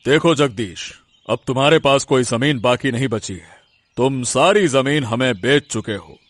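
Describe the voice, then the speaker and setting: A man's voice: mid-range voice, measured pace, a bit bright, no echo. A man voicing a sly village land-grabber in a cartoon story, taunting and condescending. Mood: mocking.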